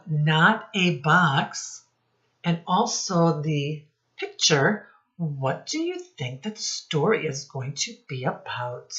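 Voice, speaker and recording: A woman teacher speaking deliberately to young children in a read-aloud lesson, addressing them directly with questions. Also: on the low side. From United States. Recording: dull lo-fi sound.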